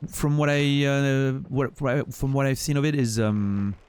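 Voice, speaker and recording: A man's voice: medium pitch, a little slow, a little mellow, clear, resonant tone. A man from Switzerland with a Swiss French accent, a native French speaker, chatting casually with his stream viewers in a rambling, profane way full of restarts.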